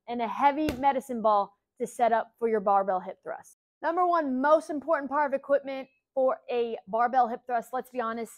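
A woman's voice: high-pitched, dry recording, highs cut off. A woman in her thirties, a fitness coach, giving an upbeat, instructive tutorial in an indoor gym, demonstrating lifts as she talks. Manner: upbeat, instructive, checks in with "okay?". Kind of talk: exercise tutorial.

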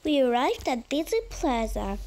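A woman voicing a polite train driver who makes orderly passenger announcements in an animated roleplay. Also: high-pitched, moderate pace.

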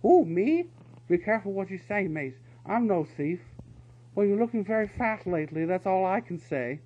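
A man voicing a pair of quarrelling weasel guards in a storybook reading, in a shrill, yelping manner. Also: steady tone, moderate pace. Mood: bickering. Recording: dull lo-fi recording with faint hum.

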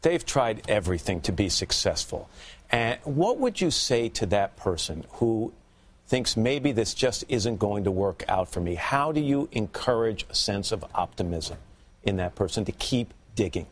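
A man's voice: lowish voice, lively intonation, a little slow. A middle-aged male TV host asking a panel upbeat questions in a studio. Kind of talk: morning show interview. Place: TV studio set.

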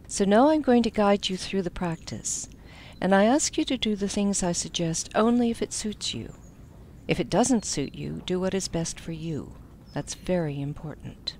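A woman leading a guided meditation as an instructor, speaking gently with soft phrase endings. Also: lowish voice, a little hiss or hum.

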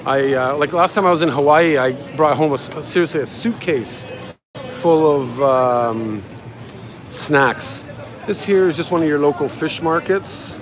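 A man's voice: a little high, measured pace. A man giving an offhand, rambling walking-tour commentary as a travel vlogger, talking casually as he goes. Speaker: live-streaming travel vlogger and guide. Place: busy outdoor market street. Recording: outdoor street with crowd and traffic. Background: street crowd, passing vehicles.

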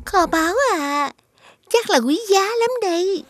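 A woman voicing a boastful, greedy cartoon bug villain who cackles and gloats in a drawn-out way. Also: extremely high pitch, expressive, a bit bright, exaggerated voice. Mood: gloating. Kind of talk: cartoon voice acting.

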